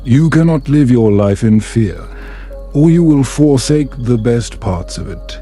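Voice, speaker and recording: A man voicing a gentle, easygoing, smooth-talking young prince in a fantasy bedtime story. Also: mid-range voice, expressive, a little slow, faint music behind, natural voice. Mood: gently urging. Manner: gentle, at ease.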